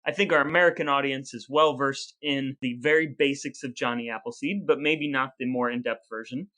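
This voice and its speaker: A man's voice: mid-range voice, steady tone, fairly quick, sharp tone. A man co-hosting a casual podcast with his brother, explaining a history topic at length and quizzing him along the way. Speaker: podcast co-host. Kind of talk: casual chat.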